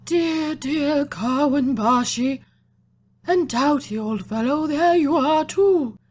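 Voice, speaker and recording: Very high, fussy storybook camel. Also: female voice, darkish tone. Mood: adoring. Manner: drawn-out, fussy, emotional delivery. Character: anxious, doting, sentimental comfortable camel.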